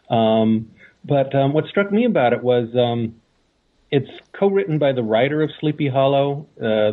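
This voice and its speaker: A man giving a measured, conversational film review as a podcast panelist. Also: mid-range voice. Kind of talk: casual film review discussion. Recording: thin, phone-like call line.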